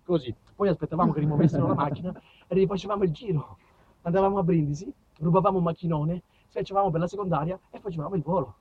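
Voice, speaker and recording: High-pitched man telling stories theatrically. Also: fast, breathy edge, phone-quality sound. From southern Italy. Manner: emphatic, declamatory, repeats phrases for effect. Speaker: actor-storyteller. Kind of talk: theatrical storytelling monologue.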